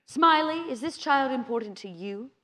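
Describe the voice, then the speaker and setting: A woman's voice: high voice, expressive, moderate pace, a bit bright. A woman voicing a shrewd, commanding female vampire with a theatrical, coaxing manner in a tabletop game. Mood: sly, scheming. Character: shrewd, commanding, sly female vampire gang schemer named Vera.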